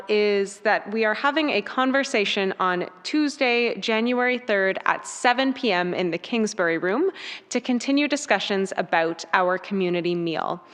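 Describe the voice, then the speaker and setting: Clear-voiced woman in a reverberant hall. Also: medium pitch, fairly bright tone. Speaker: church worship leader giving announcements. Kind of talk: church announcements.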